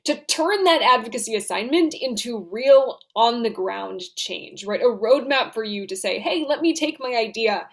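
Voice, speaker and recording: A woman's voice: a little high, animated, normal speed, hardly any pauses. A young woman giving an upbeat class overview over a video call, often checking in with "right?". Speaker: student guest presenter. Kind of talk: class presentation.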